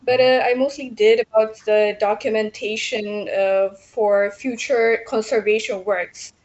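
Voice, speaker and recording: A young woman from Ethiopia, a civil engineer answering radio interview questions with many pauses and fillers. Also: a little high, steady tone, a little mellow, muffled recording.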